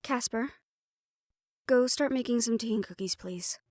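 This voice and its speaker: A woman playing a calm, protective householder who befriends ghosts, gently firm and reassuring, in an audio roleplay drama. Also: on the high side, unhurried, dry recording, clean studio sound.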